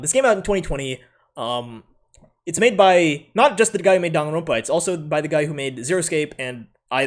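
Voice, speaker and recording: Chatty higher-voiced man with an American accent. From Philippines. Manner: rambling, chatty, with many fillers and self-corrections; laughs often while talking. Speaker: anime ranking host. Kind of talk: casual ranking commentary.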